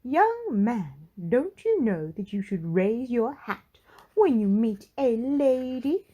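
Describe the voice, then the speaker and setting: A woman's voice: fairly high pitch, big pitch swings, slow pace, dark tone, pitched above the performer's own voice, heavily acted. A woman voicing a prim, haughty little storybook lady who speaks in an emphatic, exclamatory way. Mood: stern, indignant. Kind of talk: storybook character voice.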